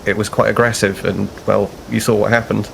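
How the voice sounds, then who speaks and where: Man acting a young male ensign, low gravelly voice, earnest, deferential, sci-fi tabletop game, faint noise. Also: little pitch movement, a bit bright, poor audio. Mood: sheepish. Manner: polite, halting, deferential.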